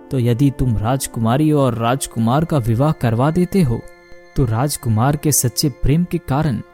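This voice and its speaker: A man's voice: a little high, mellow. A man voicing a wise sage in a cartoon story, solemn and advising.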